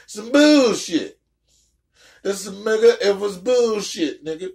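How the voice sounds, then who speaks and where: Reaction host: male, higher register, blunt street-slang chat, freely profane, stammers on repeated words. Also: lively intonation, a little slow, creaky, a little mellow. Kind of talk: casual chat reacting to a story.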